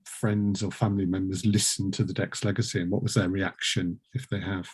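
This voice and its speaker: A man's voice: sits low, little pitch movement, a little fast, slightly rough. A man hosting a casual interview, informal and jokey in the way he talks. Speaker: host and producer.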